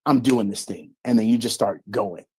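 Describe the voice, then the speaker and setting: A man's voice: on the low side, normal speed, warm tone, breathy edge. A man hosting an online interview, upbeat and polite with his guest. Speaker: host.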